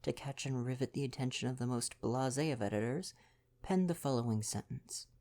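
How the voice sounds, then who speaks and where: A young man doing an intimate ASMR boyfriend roleplay, chatting casually and reading aloud in a gentle, playful, affectionate way. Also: medium pitch, a little fast. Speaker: ASMR roleplay performer and reader.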